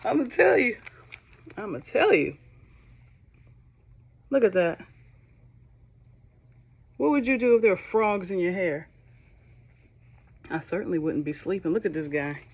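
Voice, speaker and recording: A woman reading a children's Bible storybook aloud, slow and expressive, with soft whispered moments and playful asides to young listeners. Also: medium pitch, slightly rough. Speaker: storybook reader.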